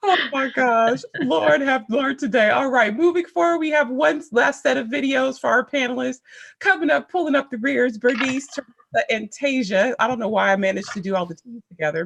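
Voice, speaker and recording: A woman's voice: a little high, normal speed, creaky. A woman hosting a panel chat over a video call, playful and teasing with her guests. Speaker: host.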